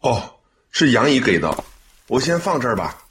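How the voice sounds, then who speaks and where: A man's voice: medium pitch, very animated, moderate pace, noisy background, close to an everyday voice. A man acting a devoted, caring young adult son in a Chinese radio drama, speaking earnestly and expressively. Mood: caring, reassuring.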